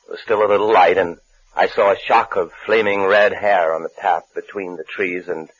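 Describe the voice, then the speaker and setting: An actor playing a bitter, disgraced lawyer and husband in a 1950s radio suspense play, brooding and curt. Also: male voice, deep voice, steady tone, normal speed, slightly rough. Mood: brooding, resigned. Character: bitter, disgraced lawyer husband recounting his own downfall. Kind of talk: 1950s radio suspense acting with first-person narration. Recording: muffled old radio broadcast recording.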